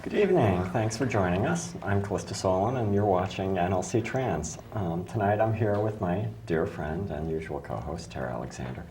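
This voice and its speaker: A woman hosting a talk show, hoarse from allergies, chatting casually and often restarting her sentences. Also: a bass voice, expressive, moderate pace, few pauses, noisy background. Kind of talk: casual studio chat.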